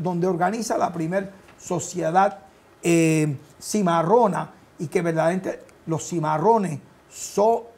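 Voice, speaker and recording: A man, explaining deliberately and didactically as a guest on a studio panel. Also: high voice, vocal fry, darkish tone. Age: 60s. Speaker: panel guest, cultural center director. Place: television studio. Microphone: clip-on lapel mic.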